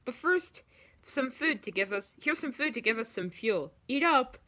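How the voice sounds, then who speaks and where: A woman voicing a steady, protective male anime warrior who explains things earnestly. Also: high-pitched, moderate pace, voice pushed up. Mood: reassuring.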